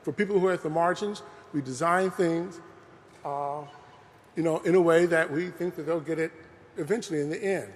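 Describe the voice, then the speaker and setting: A man's voice: a little high, normal speed. A man giving an earnest, conversational public talk, often saying "you know" as he speaks. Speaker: lecturer presenting a talk. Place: large museum hall. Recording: long hall reverb.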